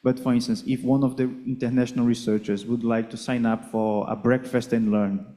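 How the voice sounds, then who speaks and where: Mellow-voiced man moderating a Q&A. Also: medium pitch, level tone. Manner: measured, polite, explains questions step by step. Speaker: project coordinator and Q&A moderator. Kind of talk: moderating conference Q&A. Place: conference hall.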